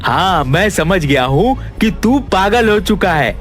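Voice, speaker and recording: A woman's voice: fairly low pitch, expressive, a little slow, over music, voice pushed down. A woman voicing a bewildered, plain-spoken village man in a cartoon, puzzled and protesting. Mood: baffled. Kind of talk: Hindi cartoon dialogue.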